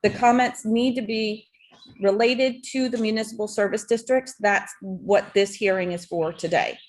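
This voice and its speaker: A woman mayor runs a town public hearing, speaking in a plain, procedural way. She pauses on fillers as she explains the rules and calls for motions. Her pitch sits in a typical middle range. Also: fairly even intonation, low-quality recording. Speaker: mayor chairing the board meeting. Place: town meeting room.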